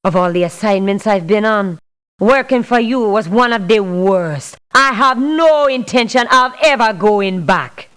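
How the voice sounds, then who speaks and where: Slow, clear-voiced, blunt female mercenary. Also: mid-range voice, expressive, a bit bright. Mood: firm, dismissive. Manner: blunt, measured, firm. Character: tough, blunt, principled female mercenary for hire. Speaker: mercenary character in a strategy game. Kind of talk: video game voice clips, scripted refusals and barks.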